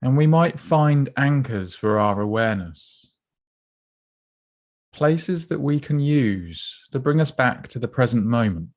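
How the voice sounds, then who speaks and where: A man leading a guided body scan meditation in a gentle, unhurried, instructive way, leaving pauses between phrases. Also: mid-range voice, lively intonation.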